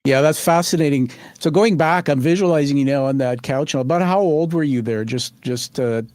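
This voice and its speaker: A man hosting an interview podcast, prompting his guest warmly with enthusiastic questions. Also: a little high, moderate pace, no room sound. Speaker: podcast host. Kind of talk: casual interview chat.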